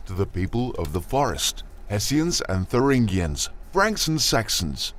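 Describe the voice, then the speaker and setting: A man's voice: on the low side, big pitch swings, unhurried, slight background noise. A man voicing a lofty missionary who reads out a formal letter in an audio drama, in a declamatory manner. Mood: proclaiming.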